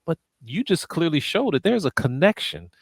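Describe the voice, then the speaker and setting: A man's voice: a little high, big pitch swings, normal speed, vocal fry, breathy edge, dry recording, studio quality. A man hosting a radio interview, asking questions hesitantly with many fillers and repeated words. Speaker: radio show host, interviewer.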